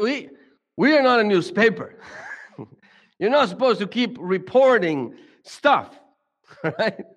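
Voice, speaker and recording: Brazilian man speaking in a reverberant hall. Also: sits high. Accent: Brazilian Portuguese. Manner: halting, many repeated words and restarts. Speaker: speaker at a church gathering. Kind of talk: reflective talk to a congregation.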